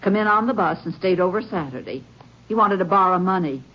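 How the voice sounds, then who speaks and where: A woman's voice: lowish voice, animated, a little fast. An actress playing a plain-spoken, disapproving middle-aged country woman with a drawl in a radio western. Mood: earnest recounting. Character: plain-spoken rural stepmother, disapproving and forthcoming. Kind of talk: old-time radio western acting. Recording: dull old radio recording.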